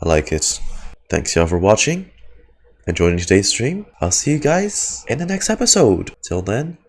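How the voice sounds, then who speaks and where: A man's voice: fairly low pitch, very animated, moderate pace, a little mellow, husky. A man doing casual, rambling game reaction commentary as a streamer, with joking asides. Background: faint music.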